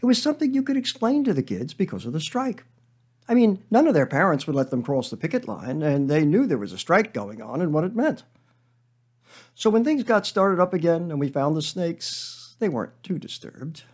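A man reading a comic story monologue as a weary, defensive schoolteacher who rambles in a deadpan, hesitant way. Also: on the high side, moderate pace, voice pushed up. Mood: defensive, explaining, then rambling, defensive. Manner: deadpan, hesitant, self-justifying digressions.

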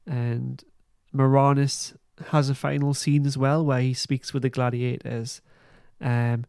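A man hosting a podcast, giving a casual recap in an informal, halting way. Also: mid-range voice, vocal fry, a little mellow, dry recording, clean studio sound. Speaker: podcast host. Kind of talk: casual plot recap and review.

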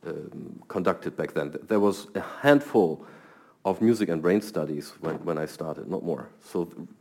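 A man's voice: low voice, lively intonation, moderate pace, vocal fry, dark tone. A middle-aged man from Germany with a German accent, a scientist answering interview questions thoughtfully and often repeating words as he starts. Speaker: guest scientist.

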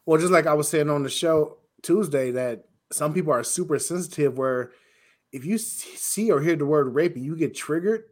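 A man with a rough voice talking bluntly and casually as a co-host on a talk show, sounding exasperated. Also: on the high side, normal speed. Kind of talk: casual opinionated conversation.

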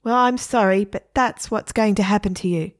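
A woman's voice: mid-range voice, normal speed, close to an everyday voice. A woman voicing a bossy, impatient young male prankster in a read-aloud story.

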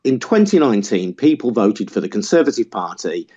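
A man's voice: lowish voice, sing-song, brisk, mellow, breathy edge, highs cut off. A man hosting a phone-in show, taking callers and guests and explaining things patiently. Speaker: host.

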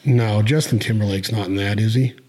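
A man's voice: fairly low pitch, fairly even intonation, fairly quick, darkish tone. A man hosting a podcast, chatting casually with a guest in a joking, self-doubting way. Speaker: podcast host.

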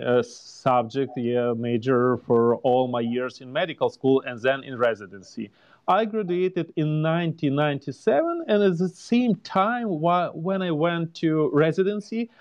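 Man, Ukrainian accent, forties, halting interview answers, dull recording. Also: fairly high pitch, clean tone. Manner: halting, searching for words. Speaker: homeopath guest.

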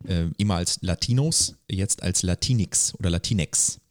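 Casual male podcast host, low-pitched, rambling and colloquial, recorded dry. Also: a little slow, no echo. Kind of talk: casual conversational podcast chat.

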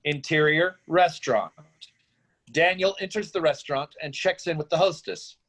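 A man's voice: on the high side, normal speed, a bit bright. A man narrating a screenplay, reading out stage directions as scripted narration.

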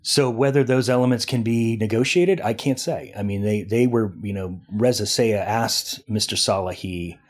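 Man, foreign news editor, low voice, husky, rambling analysis full of restarts. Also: moderate pace, hardly any pauses. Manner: discursive, many restarts and fillers. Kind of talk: analytical interview talk.

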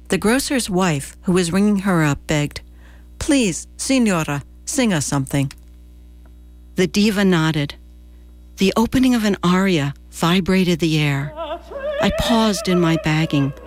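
An American woman in her sixties reads a scripted personal essay for radio in a measured, deliberate storytelling manner. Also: on the low side. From New Jersey, United States. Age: 60s. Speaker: radio commentator and presenter.

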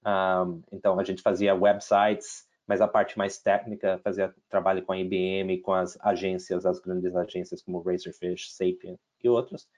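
Low-voiced man with an American accent. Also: flat delivery, normal speed, few pauses, a little mellow. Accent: American English, some Brazilian and European Portuguese. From United States. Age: middle-aged. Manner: hesitant, many restarts and fillers, mixes in English words. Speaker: investor guest. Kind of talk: casual interview talk.

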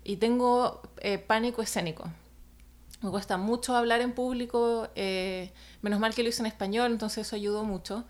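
A woman's voice: a little high, fairly even intonation, normal speed. A woman, an illustrator telling a personal story in a casual chat, hesitant with many fillers.

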